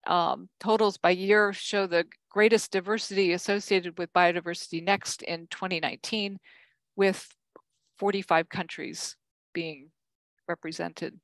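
An older woman delivers a keynote talk over a video call, walking through slides at a measured pace. Her voice sits low and has a gravelly edge, and the sound is dry and close, with no room around it. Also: steady tone, no room sound. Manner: measured, reads from slides with frequent ums and self-corrections. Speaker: keynote speaker. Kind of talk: conference keynote presentation.

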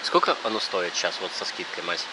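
Low-voiced man chatting over loud background noise. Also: big pitch swings, fairly quick. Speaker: vlogger. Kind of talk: casual chat while eating. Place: large open restaurant, indoors. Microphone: action camera on the table at close range. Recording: loud restaurant ambience noise.

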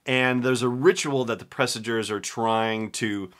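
A man's voice: medium pitch, fairly even intonation, unhurried, fairly bright tone. A man in his forties talking casually as he hosts a podcast on his own from a room at home. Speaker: podcast host. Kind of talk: casual solo podcast commentary.

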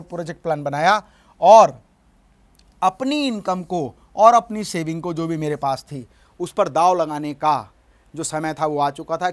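A middle-aged man giving a motivational business lesson in a studio, speaking emphatically and often asking his audience to agree. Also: sits high. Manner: emphatic, gestures at a screen, asks "है ना?". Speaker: business coach. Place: studio in front of a large screen.

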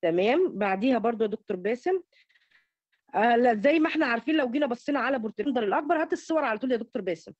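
High-voiced Egyptian woman lecturing, lo-fi sound. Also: lively intonation, rapid-fire, a little mellow, no echo. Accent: Egyptian Arabic. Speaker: academic presenter. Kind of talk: academic slide lecture. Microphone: online call. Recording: dull lo-fi online call audio.

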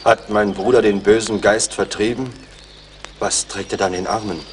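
A solemn, wary elder of a tribe, acted by a man with a low voice. It is radio drama with slight ambient noise. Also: fairly even intonation, moderate pace, lightly acted. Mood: suspicious. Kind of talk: German radio play acting.

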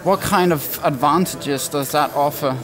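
A man's voice: a little high, a little slow, over music. A man in his thirties hosting a conversational interview, asking curious questions and thinking aloud as he goes. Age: 30s. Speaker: interview host. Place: glass-walled booth at a trade show hall. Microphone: headset.

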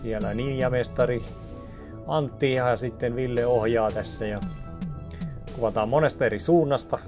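Chatty man over background music. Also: mid-range voice. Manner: chatty, rambling, with many restarts. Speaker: video maker showing a set build. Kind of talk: casual DIY vlog talk. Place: small indoor office studio. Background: music playing under the talk.

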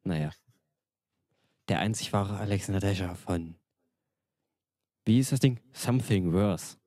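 A man's voice: deep voice, a little slow, mellow, no room sound, clean studio sound. A man co-hosting a live stream with casual banter.

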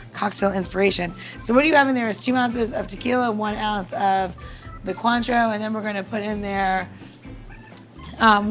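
A woman's voice: mid-range voice, creaky. A woman chatting informally and self-deprecatingly as she demonstrates a cocktail recipe as a podcast host.

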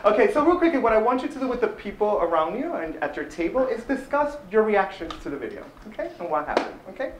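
A man presenting a workshop to a seminar room, brisk and checking in with the group. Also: sits high, sing-song, reverberant, noisy background. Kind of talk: leading a classroom workshop.

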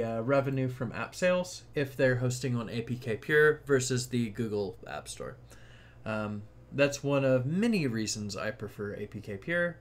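A man narrating a casual tech tutorial, explaining things informally step by step. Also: mid-range voice.

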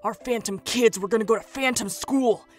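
A woman's voice: on the high side, normal speed, soft background music, natural voice. A woman playing a brooding, heartbroken, melodramatic youth in a comedic roleplay skit. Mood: gloomy, heartbroken.

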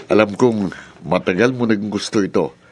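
A man's voice: lowish voice, normal speed, background noise, natural voice. A Filipino man playing a doting, cheerful father in a radio drama, affectionate and playful.

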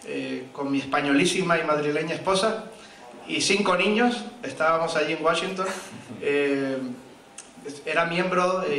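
A man with a Puerto Rican accent giving a guest speech at a political rally, animated, with many hesitations and fillers. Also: on the high side, a little hiss or hum. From Puerto Rico and Miami. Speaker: guest speaker. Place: large indoor event hall. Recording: echoey hall, far from mic. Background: audience murmur and laughter.

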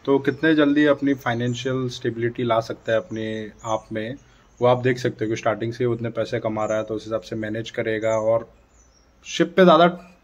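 A man's voice: mid-range voice, highs cut off. A man in his thirties talking casually to a phone camera indoors, gesturing a lot as he gives advice to his viewers. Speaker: vlogger. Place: dim room indoors by a dark door. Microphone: phone camera at arm's length.

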